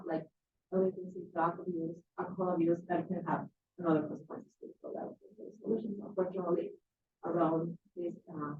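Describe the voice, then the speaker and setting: A woman from Mexico, an advocacy program manager, speaking haltingly with many fillers at a county board meeting. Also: fairly low pitch, background noise. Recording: roomy, distant, phone-like meeting recording.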